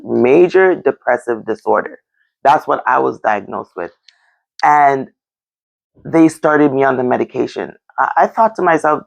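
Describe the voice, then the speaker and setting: A woman's voice: low-pitched, vocal fry, rough recording. A woman with a Jamaican accent in a candid, casual discussion, blunt and emphatic, repeating phrases for stress. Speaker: discussion show host.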